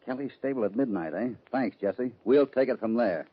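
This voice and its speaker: A man playing a shrewd, calm, deliberate cowboy hero in an old radio western. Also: mid-range voice, faint music behind, close to an everyday voice. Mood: firm. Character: shrewd, steady cowboy hero, unhurried and suspicious. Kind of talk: 1940s-50s radio western acting. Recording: muffled old-time radio recording.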